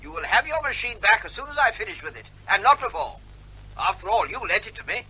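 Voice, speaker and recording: A cheeky, evasive neighbour in a comic radio play. His voice is high and piercingly bright, swooping about in a lively way as he breezily quibbles and needles with mock politeness. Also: quick pace, slightly rough, heavily acted, poor audio. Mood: smug teasing. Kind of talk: comic radio drama acting.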